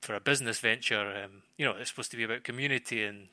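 A man talking casually as a football pundit on a podcast, halting and repeating phrases as he thinks aloud. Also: medium pitch, pushed and bright, dry recording.

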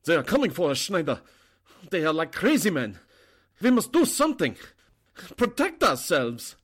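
A man acts out an agitated, suspicious fellow in an old radio drama. His fairly high voice swings widely, accusing and fearful. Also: wildly swooping pitch, moderate pace, husky, heavily acted. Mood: panicky. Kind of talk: 1940s radio drama acting.

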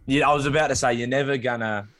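A man's voice: medium pitch, steady tone, quick pace, fairly bright tone, no room sound. A man co-hosting a podcast, agreeable and chiming in with crude jokes. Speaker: podcast co-host. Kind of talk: casual chat.

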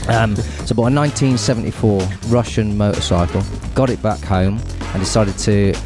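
A man with a lowish voice talks as a guest on a tech podcast. He tells a story in an easygoing, anecdotal way over background music. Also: steady tone, moderate pace. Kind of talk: casual panel chat.

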